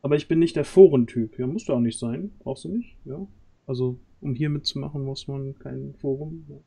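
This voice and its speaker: Male, thirties, mellow tone, mid pitch, casual stream host, halting delivery. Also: creaky, breathy edge. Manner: halting, searching for words. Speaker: stream host and audio drama organiser. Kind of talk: casual livestream chat. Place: small room at home, on a group video call.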